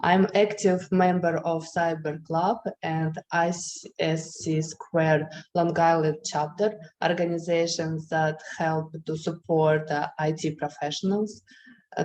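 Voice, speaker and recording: A woman from Ukraine with a Ukrainian accent speaks slowly as a student panelist, choosing her non-native phrasing carefully and hesitantly. Her voice is fairly low and even, heard over a video call with faint background noise. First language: not English. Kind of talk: semi-formal personal account.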